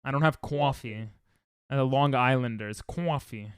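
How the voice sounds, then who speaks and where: A young man with a New York accent, streaming a game and chatting casually with friends, joking and getting excitable, often trailing off mid-sentence. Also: medium pitch, a little slow, clear, resonant tone, no echo, clean studio sound. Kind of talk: casual gaming stream chat.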